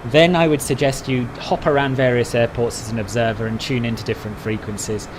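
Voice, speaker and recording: A man's voice: mid-range voice, steady tone, brisk, noisy background. Chatty man streaming a flight sim. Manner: answers viewers' chat messages conversationally. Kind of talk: casual live-stream chat.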